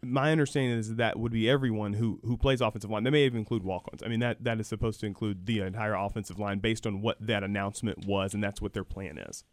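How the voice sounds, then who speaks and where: A man's voice: on the low side, steady tone, fast talker, hardly any pauses, no room sound. Man explaining as radio guest, dry sound. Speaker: guest, university program director. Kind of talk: radio sports talk interview, explanatory.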